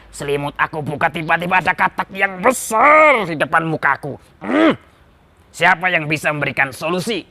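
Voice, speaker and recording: A man's voice: fairly high pitch, vocal fry, pushed and bright, full character voice. A man voicing a foolish, vain and bossy king in a children's tale, with a pompous, groaning manner. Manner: pompous, groaning, given to hemming.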